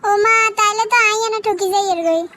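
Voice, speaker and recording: Cartoon casting: demanding young Bangladeshi wife, Noakhali accent, extremely high and very fast, nagging, faint noise behind. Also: female voice, steady tone, over music. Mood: eager. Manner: nagging, insistent. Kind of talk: comedy cartoon dialogue.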